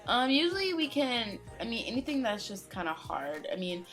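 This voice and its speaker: Chatty young American woman, animated. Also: on the high side, soft background music. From United States. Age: young adult. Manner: chatty, animated, quick when opinionated. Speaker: co-host of a fan channel. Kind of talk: casual Q&A chat.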